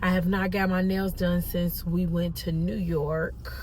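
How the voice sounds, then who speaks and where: A woman, rambling in a casual vlog, hoarse as she loses her voice. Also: lowish voice, little pitch movement, a little slow. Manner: rambling, with many ums. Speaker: vlogger. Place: in a car. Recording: phone in a car, loud road noise. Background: loud traffic and car noise.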